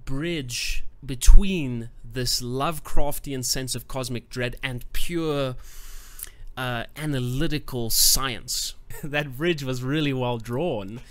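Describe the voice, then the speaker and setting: A man's voice: medium pitch, takes it slowly, a bit bright. A man giving a casual book review to camera, thoughtful and searching for words, growing enthusiastic.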